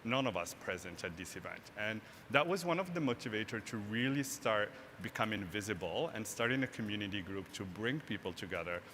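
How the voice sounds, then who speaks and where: A man's voice: lowish voice, expressive, fairly quick, few pauses, fairly bright tone. A man from Morocco, a tech community founder being interviewed on stage, talking earnestly and often checking in with "right?". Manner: earnest, frequent "right?" tag questions. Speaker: tech nonprofit founder, guest. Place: large hall, live event stage. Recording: echoey hall, faint noise.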